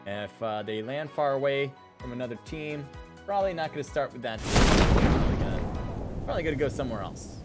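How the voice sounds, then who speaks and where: A man hosts a live esports stream over clearly audible music. His voice sits at an average pitch for a male speaker. He rambles casually between commentary and chat, often poking fun at himself. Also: lively intonation, a little fast. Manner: rambling, self-deprecating. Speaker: esports livestream caster. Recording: music behind the voice.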